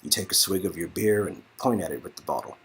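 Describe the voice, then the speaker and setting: A man with a husky voice giving scripted second-person narration for an interactive story. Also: deep voice, animated, fast.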